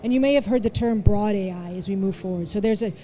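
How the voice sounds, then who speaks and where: Mellow-voiced woman panelist. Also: medium pitch, quick pace, slight background noise. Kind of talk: conference panel answer.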